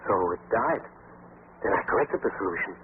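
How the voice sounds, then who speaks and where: A man's voice: lowish voice, animated, close to an everyday voice. Man playing an obsessed, intense radio-drama scientist, tinny recording. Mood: fervent. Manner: intense, halting, repeats himself. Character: obsessed, embittered down-and-out male research scientist. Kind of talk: 1940s radio acting. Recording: tinny, noisy old 1940s radio recording.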